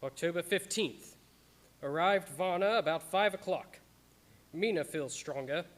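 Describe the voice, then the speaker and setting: A man acting solemn journal-reading young man, higher-pitched. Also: measured pace, fairly bright tone. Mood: solemn, tense. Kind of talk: dramatic radio-play narration. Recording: live-streamed radio play with music bed.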